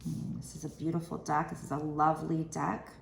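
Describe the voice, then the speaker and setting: A woman in her thirties with a raspy, tired voice, giving a casual, rambling tarot card reading at a table indoors. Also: low-pitched, monotone, a little fast, reverberant, slight background noise. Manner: chatty, rambling, with many fillers. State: raspy from lack of sleep. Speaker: tarot reader.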